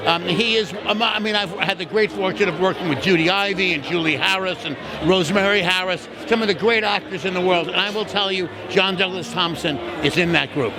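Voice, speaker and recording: A man's voice: sits high, brisk, few pauses. A man, a theater director, answering questions in an interview. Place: crowded opening-night event. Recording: busy crowd noise around.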